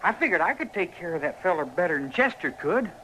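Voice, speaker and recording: A man's voice: high voice, big pitch swings, quick pace, a bit bright. An actor playing a scared, defiant runaway frontier boy with a rural American accent, folksy and earnest in old-time radio style. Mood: sheepish, defensive. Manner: folksy, earnest, stammering when cornered. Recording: noisy old radio drama recording.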